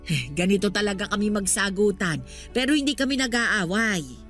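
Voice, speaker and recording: A woman's voice: medium pitch, expressive, normal speed, fairly bright tone, soft background music, lightly acted. A woman playing a talkative, argumentative street vendor wife in her sixties with a Filipino accent, in radio drama style. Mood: exasperated, resigned. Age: 60s.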